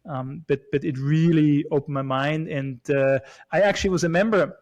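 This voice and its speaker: A man in his forties from Switzerland, talking as a guest in a relaxed chat. His voice sits a little high for a man. He speaks haltingly, with lots of fillers, repeated words and restarts. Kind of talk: casual chat.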